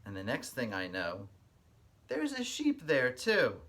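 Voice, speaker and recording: Slow-paced man reading aloud to kids. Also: medium pitch, huge pitch swings, a bit bright. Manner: addresses young listeners directly, explains words simply. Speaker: kindergarten teacher. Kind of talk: expressive children's read-aloud.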